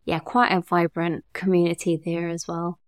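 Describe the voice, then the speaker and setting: A woman who grew up in Germany, a guest in a casual interview, relaxed and laughing easily as she talks. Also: on the low side, normal speed, clean tone, dry recording, clean studio sound. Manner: relaxed, laughs often, uses fillers like "like". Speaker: agency cofounder, guest.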